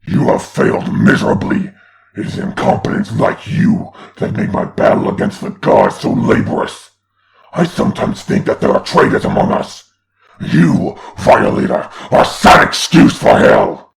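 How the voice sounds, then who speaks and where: A man's voice: fairly low pitch, wildly swooping pitch, unhurried, a little hiss or hum, full character voice. A man playing an imperious, menacing demon lord of hell in a comic dub, pompous, scolding and growling. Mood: scolding, contemptuous. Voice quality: gravelly, growling. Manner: pompous, scolding, theatrical delivery. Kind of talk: comic dub villain acting.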